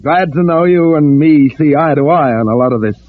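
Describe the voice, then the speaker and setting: A man's voice: on the high side, brisk, lightly acted. Man playing a chummy agitator, tinny old radio. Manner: chummy, colloquial, emphatic. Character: scheming, outspoken agitator posing as friendly citizen. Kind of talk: old-time radio drama acting. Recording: tinny, narrow-band vintage radio recording.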